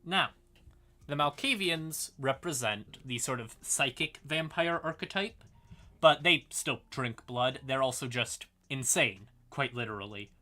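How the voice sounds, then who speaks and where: Bright-voiced man explaining lore on a livestream. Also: medium pitch, lively intonation, measured pace. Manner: informal, sweary, apologetic asides. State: tired, yawning. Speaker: livestream host. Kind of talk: casual explainer livestream on fantasy game lore.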